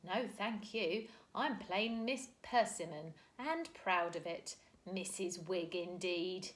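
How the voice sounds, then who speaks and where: A woman reading aloud, voicing a thin, prim spinster landlady in a high, indignant voice. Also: lively intonation, slow. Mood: haughty.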